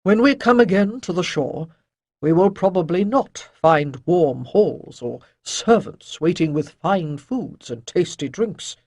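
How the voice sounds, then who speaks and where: A narrator doing a wise old priest, lively and husky. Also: medium pitch, dry recording, clean studio sound. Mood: reassuring, wry. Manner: measured, declamatory, pausing for weight. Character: wise old male priest, calm gently chiding teacher.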